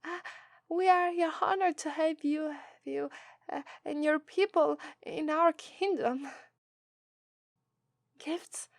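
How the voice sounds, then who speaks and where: A woman's voice: high-pitched, steady tone, slow pace, gravelly, pauses often, no room sound, clean studio sound, heavily acted. A woman with an Italian accent voicing an affectionate, cheerful young demon princess bride in an ASMR roleplay. She giggles often and gets flustered easily. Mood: flustered, polite. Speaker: voice actress.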